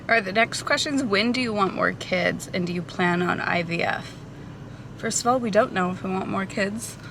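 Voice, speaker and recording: A woman's voice: medium pitch, normal speed, a bit bright, slight background noise. A woman in her thirties, a hairstylist vlogging a casual Q&A, talking loosely with many ums and likes on a camera mic. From Portugal. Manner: chatty, many ums and likes, laughs lightly. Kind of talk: casual Q&A vlog. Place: out at a café or in a car.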